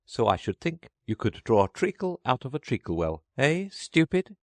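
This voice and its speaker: A man's voice: medium pitch, big pitch swings, moderate pace, no room sound, voice pushed up. A man voicing a rude, smug hatter at a mad tea party. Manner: rude, triumphant, drawling.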